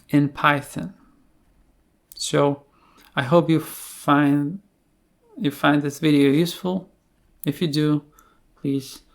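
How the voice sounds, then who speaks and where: Slow, creaky-voiced man explaining code. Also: a little high. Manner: hesitant, halting explanations with pauses. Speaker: tutorial presenter. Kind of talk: unscripted screencast coding tutorial.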